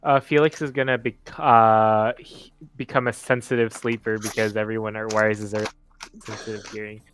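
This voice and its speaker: A man playing a tabletop roleplaying game, describing his character's actions in halting, informal talk. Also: lowish voice, flat delivery, a bit bright, clean tone.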